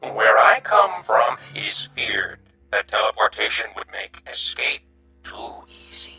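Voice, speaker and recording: A gentle, wary insect creature from another dimension, speaks in a hissing, breathy rasp. The words come slowly and formally, with a hard, bright edge, over music in a radio play. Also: male voice, on the low side, sing-song, full character voice. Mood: grave, fearful. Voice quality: breathy, raspy whisper. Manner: slow, formal, stilted speech. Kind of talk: radio drama acting.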